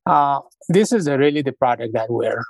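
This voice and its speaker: Middle-aged guy from nepal, halting, fillers everywhere, dead dry sound. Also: mid-range voice, lively intonation, creaky, dry recording. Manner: unhurried, halting, with many fillers. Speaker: guest, restaurant founder. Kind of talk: casual interview storytelling.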